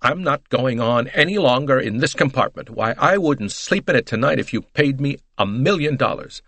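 A man's voice: medium pitch, animated, moderate pace, a bit bright, no echo, pitched above the performer's own voice. A man voicing a talkative, melodramatic middle-aged American woman in an audiobook, with a gushing, emphatic, breathless delivery. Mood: indignant.

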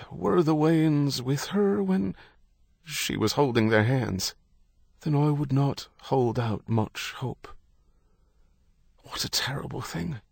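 Priest, played by a male narrator: folksy, gentle on the surface, prying underneath, audiobook story, close dry sound. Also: medium pitch, gravelly, no room sound, voice pushed up, heavily acted. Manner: gentle, folksy, faintly accusatory. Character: Irish priest, solicitous yet prying and blunt.

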